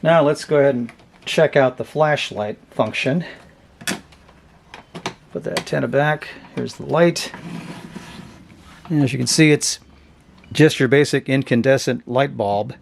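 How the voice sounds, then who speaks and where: Man, mid-pitched voice, casual unscripted review, halting, hedging, offhand host. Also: vocal fry, a little hiss or hum. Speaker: host of a vintage radio review channel. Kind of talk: casual unscripted product demonstration.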